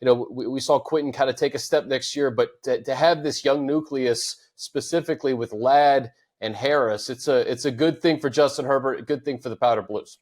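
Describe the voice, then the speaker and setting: A man hosting a sports podcast, smooth and even, laying out points in steady lists. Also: medium pitch, fairly quick, hardly any pauses. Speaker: podcast host.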